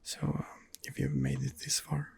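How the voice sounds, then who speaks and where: A man giving ASMR game commentary in a breathy, husky whisper, hesitant and rambling as he muses his way along. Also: mid-range voice, sing-song, normal speed, a little mellow. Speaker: video game streamer, channel host.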